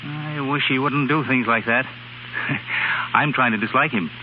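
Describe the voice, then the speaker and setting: A man's voice: mid-range voice, slow, natural voice. An actor playing a wry, caring male suitor in a 1930s radio drama. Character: Gil, wry, caring suitor in love with Anne. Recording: very noisy, muffled 1930s radio recording.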